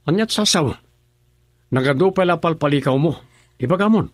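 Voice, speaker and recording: A defensive young husband , played by a man, with a fairly high voice and an Ilocano accent, indignant when questioned. He is recorded up close with a dry, dead sound. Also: expressive, normal speed, no room sound. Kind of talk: radio drama acting.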